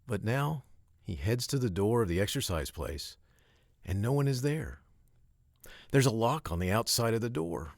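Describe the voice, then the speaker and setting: A man narrating a children's story from a script, with expressive, emphatic phrasing and short pauses. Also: lowish voice, vocal fry, no room sound, studio quality.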